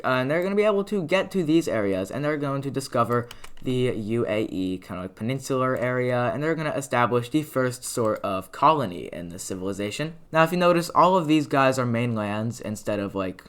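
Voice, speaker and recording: A man with a raspy, worn voice narrating a map animation in casual, rambling commentary full of fillers and restarts. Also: medium pitch, expressive, fairly quick. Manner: rambling, filler-heavy, lots of "like" and "uh". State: raspy voice from talking a lot. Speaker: mapping video creator.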